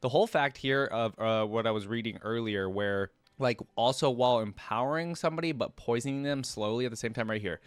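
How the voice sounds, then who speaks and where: A man's voice: mid-range voice, brisk, few pauses, smooth, no room sound. A man hosting a reaction video, talking casually with many fillers and open enthusiasm.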